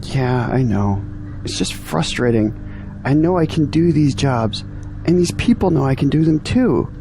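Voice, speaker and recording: A man's voice: medium pitch, lively intonation, a little slow, background noise. A man playing an earnest, discouraged young graduate in an audio drama, complaining about his troubles. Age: young adult.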